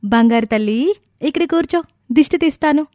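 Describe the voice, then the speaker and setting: A woman's voice: high-pitched, expressive, moderate pace, a little mellow, no echo, clean studio sound. A woman playing a loving, firm young mother in a dramatized moral story, speaking with emphatic, pleading feeling. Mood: doting.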